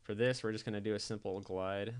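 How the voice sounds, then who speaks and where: A man giving a casual software tutorial voice-over, explaining things informally step by step. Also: fairly low pitch, fairly even intonation, a little fast, clean tone. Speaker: tutorial presenter.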